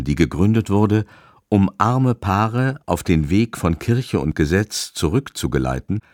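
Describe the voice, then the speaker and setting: A man's voice: low voice, dry recording, clean studio sound. A man narrating a radio drama in a scripted literary style. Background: faint music.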